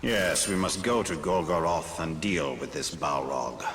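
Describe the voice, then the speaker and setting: A resolute male war leader speaks in a low voice with long reverb. It is dramatic fantasy game acting, with clear noise in the background. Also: a little slow.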